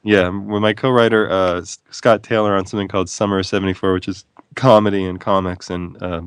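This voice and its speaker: Man, low voice, dry close sound, chatty guest with a joking streak. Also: level tone, brisk, clean tone, dry recording. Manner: playful, wry, digressive. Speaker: author and guest. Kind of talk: casual radio interview chat.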